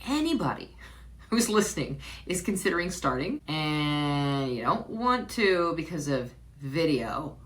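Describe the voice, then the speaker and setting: A woman hosts her own podcast and talks straight to her listeners in a loose, chatty way. Her pitch rises and falls a lot as she speaks. She repeats words, restarts her sentences and laughs softly now and then. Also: sing-song, measured pace. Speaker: podcast host. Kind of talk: solo podcast reflection.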